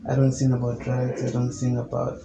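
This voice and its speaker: A young man with a low, gravelly voice speaks in an even, flat tone. He answers calmly and at length, as a musician being interviewed. The room echoes, and a steady noise sits behind him. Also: highs cut off. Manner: calm, measured, explains at length. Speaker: musician guest.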